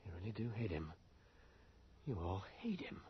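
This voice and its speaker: An actor playing a cynical, wry reporter with a husky voice and a sardonic manner in a radio drama. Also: male voice, lowish voice, big pitch swings, moderate pace, a little hiss or hum. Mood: shaken, indignant. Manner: sardonic, muttering to himself. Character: cynical, wry male reporter, an outsider in town. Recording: muffled early 1980s radio broadcast.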